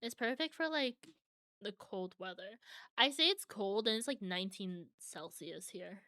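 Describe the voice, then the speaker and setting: A woman's voice: medium pitch, measured pace, bright, forceful tone, no echo, studio quality. A young adult woman streaming from home, chatting casually with viewers and answering their comments.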